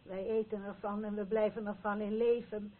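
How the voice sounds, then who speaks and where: A woman's voice: medium pitch, flat delivery, lightly acted. A woman playing an older, obsessive mother in a radio play, plaintively repeating herself like an incantation. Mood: obsessive, chanting. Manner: slow, repetitive, incantatory. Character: obsessive mother, insistently and plaintively craving bread. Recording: tinny, noisy old radio recording.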